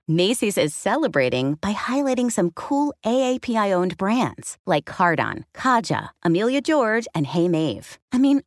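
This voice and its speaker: A woman announcer giving a friendly, conversational ad read. Her pitch swings widely as she pitches the listener. The recording is dry and close. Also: no echo. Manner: friendly, chatty sales pitch. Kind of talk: upbeat scripted ad read.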